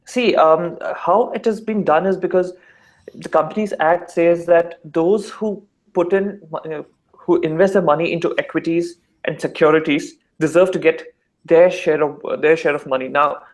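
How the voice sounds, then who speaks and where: A man's voice: fairly high pitch, fairly even intonation, normal speed. A man with an Indian accent, a lawyer explaining things in casual online debate, hesitant with many fillers. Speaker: lawyer and discussion guest.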